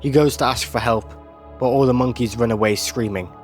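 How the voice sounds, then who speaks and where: A man's voice: mid-range voice, fairly even intonation, a little fast. A man narrating a video essay in a scripted, descriptive storytelling style. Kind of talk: scripted narration, recapping an animated series. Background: music.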